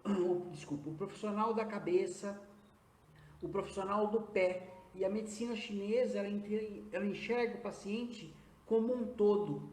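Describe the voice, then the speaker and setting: A man in his fifties with a Brazilian accent, a nurse and acupuncturist answering interview questions earnestly, often pausing on hesitant fillers. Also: sits high, darkish tone. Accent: Brazilian Portuguese. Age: 50s. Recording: roomy echo.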